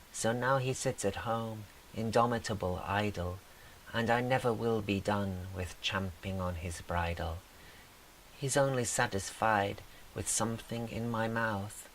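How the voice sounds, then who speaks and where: A man reading poetry in a measured, deliberate, wry way, as a poet performing his own work. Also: lowish voice, creaky, fairly bright tone, low-quality recording. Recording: faint background hiss.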